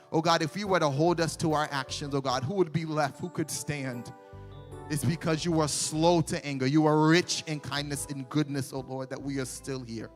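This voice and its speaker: Fervent praying man, "oh God" refrain. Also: on the high side. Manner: repeats "oh God" between phrases, rising and falling intensity. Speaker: worship leader praying. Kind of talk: fervent congregational prayer. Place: church sanctuary.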